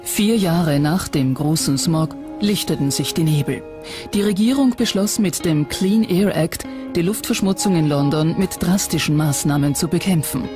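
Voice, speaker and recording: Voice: medium pitch, moderate pace. Documentary narrator over music. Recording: music bed under the voice. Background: background music.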